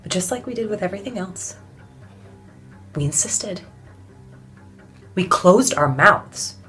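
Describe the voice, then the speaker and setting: An actress playing a weary, defiant suffragette in an intense, deliberate theatrical monologue with heavy pauses. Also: female voice, medium pitch, lively intonation, a little mellow, faint music behind, close to an everyday voice. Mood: defiant, impassioned. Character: weary, defiant suffragette woman recalling hunger and beatings.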